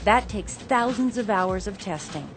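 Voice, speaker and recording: A woman reporter reading scripted news narration in a clear, measured way over a music bed. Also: medium pitch, expressive.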